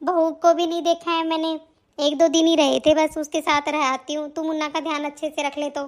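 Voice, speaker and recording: A woman's voice: high voice, little pitch movement, a little fast, close to an everyday voice. A woman voicing a fretful, affectionate older mother-in-law in a family cartoon, with a plaintive, homely way of talking. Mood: gentle. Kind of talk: Hindi family cartoon story dialogue.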